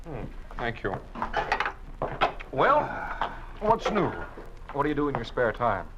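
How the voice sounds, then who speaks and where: An actor playing a polite, expressive family friend in a 1950s radio drama. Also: male voice, medium pitch, takes it slowly, muffled recording. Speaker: guest. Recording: faint hissy 1950s radio recording.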